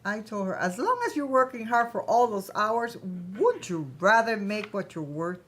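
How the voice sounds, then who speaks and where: A woman's voice: mid-range voice, expressive, normal speed, fairly bright tone, slight background noise, lightly acted. A woman in a staged play reading playing a gracious, shrewd company founder. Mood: confident, fond. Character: self-assured businesswoman, company founder, gracious but shrewd.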